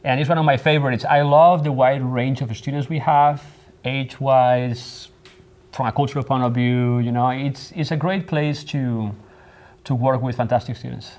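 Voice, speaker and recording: A man's voice: medium pitch, steady tone, darkish tone. Thoughtful Spanish-born professor in his thirties. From Spain. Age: 30s. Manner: thoughtful, measured, with frequent ums and uhs. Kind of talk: interview answers. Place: studio.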